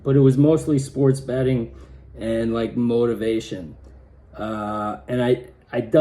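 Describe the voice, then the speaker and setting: A man with a slightly raspy, hoarse voice talking casually in a personal vlog, with many halting restarts. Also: mid-range voice, warm tone. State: hoarse from pollen. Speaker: vlogger.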